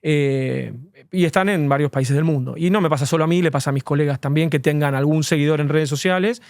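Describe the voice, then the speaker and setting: A man's voice: on the high side, a little fast, darkish tone, no echo, clean studio sound. A man, an immigration lawyer, answering interview questions earnestly and at length, with frequent fillers.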